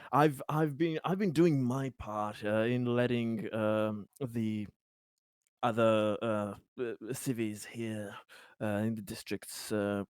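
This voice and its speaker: A man acts out an eager, deferential schemer for a tabletop roleplaying game. He is polite but fumbling, stammering his way through offers of help. The voice is fairly low and clean, recorded dry and close. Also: a little mellow, clear, resonant tone, dry recording. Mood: chatty, hesitant.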